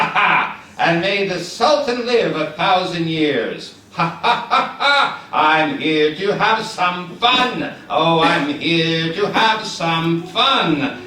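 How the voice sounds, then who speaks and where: A man's voice: fairly high pitch, pushed and bright, full character voice. A man voicing a chatty, ingratiating male know-it-all in a live puppet show, coaxing the audience to join in. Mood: cheerful. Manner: eager, coaxing, leads the audience in call-and-response. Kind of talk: live shadow puppet theatre. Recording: echoey hall, distant mic.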